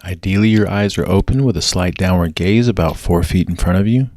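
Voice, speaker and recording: A low-pitched man leads a guided meditation. He gives gentle, measured instructions in a steady narrating style. The sound is dry, with very little room in it. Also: dry recording.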